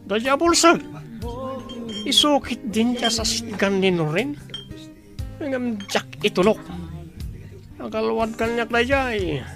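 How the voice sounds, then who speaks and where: A man acts out a jealous, hot-tempered man in love who has been drinking. He speaks as in a radio drama, with music clearly playing underneath. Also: very high-pitched, expressive, slow pace, long pauses, exaggerated voice. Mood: drunk, bitter. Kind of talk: Ilocano radio drama acting.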